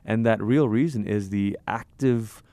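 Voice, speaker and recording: A man from Malaysia, a pastor, talking in a lively, thoughtful way in an interview and laughing easily. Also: fairly low pitch, no echo. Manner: animated, thoughtful, quick to laugh. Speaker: pastor and author. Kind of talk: conversational interview.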